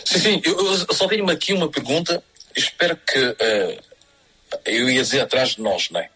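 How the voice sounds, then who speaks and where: A man's voice: mid-range voice, moderate pace, pushed and bright, slightly rough, low-quality recording. A middle-aged man presenting on radio, talking discursively in a casual panel and often seeking agreement with tag questions.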